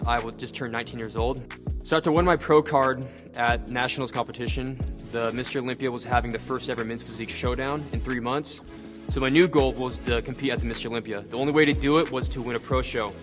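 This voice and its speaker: A man's voice: mid-range voice, fairly even intonation, fast. A man, telling his own story in a casual interview and often saying "like" and "you know.". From Seattle, Washington. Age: 20s. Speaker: bodybuilder and actor. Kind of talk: personal interview monologue. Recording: light music behind.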